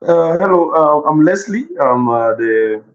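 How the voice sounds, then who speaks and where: A man from Cameroon thanking the hosts at an online meetup, warm and grateful, stumbling over repeated words. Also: a little high, lively intonation, a little slow. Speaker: meetup participant. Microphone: video call. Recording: dull lo-fi call audio.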